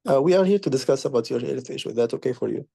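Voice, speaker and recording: A man's voice: medium pitch, expressive, racing, vocal fry, dark tone, slightly rough, no echo, studio quality. A man playing a doctor taking a patient's history, polite and formal, with slightly awkward phrasing. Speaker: doctor in practice consultation. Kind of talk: role-played clinical history taking.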